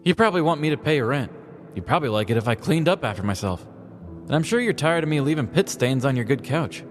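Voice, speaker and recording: A man's voice: medium pitch, lively intonation, quick pace, pitched above the performer's own voice, close to an everyday voice. A brash, freeloading slob of a woman from an audio story, put on by a male narrator. The delivery is blunt and casual, careless about everyone else, over a music bed. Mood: nonchalant.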